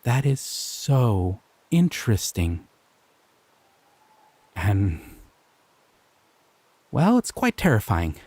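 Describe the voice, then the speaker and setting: A man voicing a shy, gentle male slime creature in an audio roleplay, soft-spoken and hesitant, often trailing off. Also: lowish voice, big pitch swings, extremely slow, long pauses, breathy edge, dry recording, heavily acted. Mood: gentle, curious.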